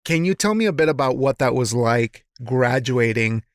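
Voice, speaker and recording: A man's voice: mid-range voice, lively intonation, normal speed, no echo, studio quality. A man hosting a casual podcast interview, encouraging and affirming as he responds to his guest. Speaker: podcast host.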